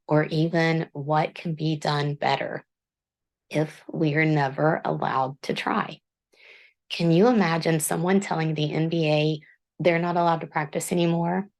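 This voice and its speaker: Woman with a really low gravelly voice, earnest and self-deprecating, talking about her writing channel. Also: darkish tone. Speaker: author and book channel host. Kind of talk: reflective scripted monologue.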